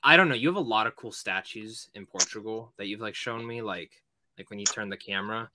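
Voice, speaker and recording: A man's voice: on the low side, brisk, sharp tone, dry recording. A man hosting a podcast, chatting informally with swearing and lots of "like". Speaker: podcast host. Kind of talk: casual podcast chat.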